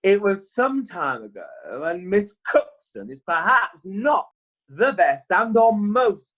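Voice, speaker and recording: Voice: mid-range voice, big pitch swings, takes it slowly, a bit bright, exaggerated voice. A performer playing a fussy, stammering advocate in a period courtroom radio play.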